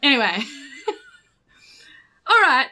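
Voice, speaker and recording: A woman's voice: sits high, big pitch swings, slow pace, sharp tone. A woman with a New Zealand accent chatting casually and playfully as a tabletop game player.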